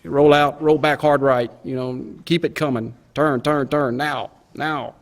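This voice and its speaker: A man's voice: mid-range voice, steady tone, unhurried. A middle-aged man tells a story from his working life as a pilot. He speaks informally and plainly, with vivid detail. He voices quoted exchanges as part of the account. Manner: plain-spoken, vivid, acts out quoted radio calls. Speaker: airline pilot giving a recollection. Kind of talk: informal spoken recollection of an event.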